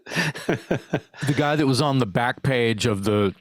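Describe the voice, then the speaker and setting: A man's voice: medium pitch, takes it slowly, no room sound, clean studio sound. A man co-hosting a podcast, chatty and friendly in his banter. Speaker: podcast co-host. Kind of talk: casual interview.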